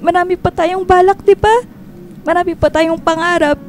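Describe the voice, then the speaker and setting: An actress in a radio drama playing a grief-stricken young woman, pleading and halting through tears. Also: very high-pitched, steady tone. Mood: grieving, aching. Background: music.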